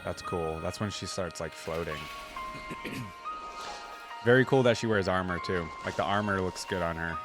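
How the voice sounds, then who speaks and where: Man, lowish voice, casual reaction commentary, conversational, rambling asides, faint music behind. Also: unhurried, pauses often. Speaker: reaction video host.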